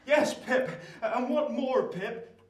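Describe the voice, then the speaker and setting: A man's voice: very high voice, animated, takes it slowly, exaggerated voice. A man playing a kindly, simple blacksmith in a radio play, with an English accent and a confiding, folksy manner. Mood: anxious, confiding. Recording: echoey room.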